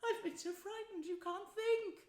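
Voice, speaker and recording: A woman's voice: high voice, expressive, normal speed, echoey room, voice pushed up. A woman voicing a small, frightened, exhausted male mole in a storybook, with a feeble, plaintive, polite manner. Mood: frightened, relieved. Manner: plaintive, apologetic.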